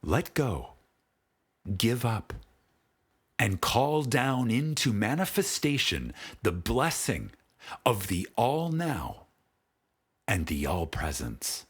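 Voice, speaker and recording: Slow, husky man reading a spiritual audiobook. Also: medium pitch, sing-song. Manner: solemn, measured, with long pauses. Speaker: audiobook narrator.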